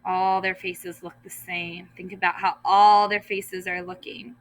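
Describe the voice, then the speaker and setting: A woman, a teacher, reading a children's story aloud expressively and deliberately, stressing repeated words. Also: lowish voice, flat delivery, no room sound, rough recording. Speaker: first grade teacher. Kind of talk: children's story read-aloud with asides to pupils.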